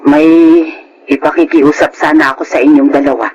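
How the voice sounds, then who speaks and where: A woman's voice: low-pitched, fairly even intonation, brisk, a little mellow, dull audio, slight background noise, close to an everyday voice. An actress playing a kind, motherly aunt in a Filipino radio drama, fussing and pleading with care. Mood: gentle pleading.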